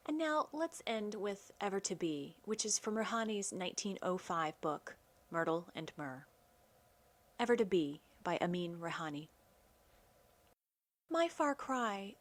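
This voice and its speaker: A woman hosting a poetry program, reading scripted narration and verse with measured, deliberate phrasing. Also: on the low side. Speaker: poetry program host and reader.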